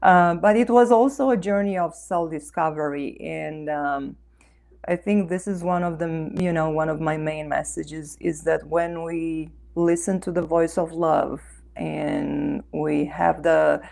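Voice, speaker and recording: A woman from Romania with a Romanian accent, speaking earnestly and warmly as an author guest in an interview. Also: low voice, steady tone, measured pace, gravelly. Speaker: author and life coach guest. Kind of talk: interview conversation.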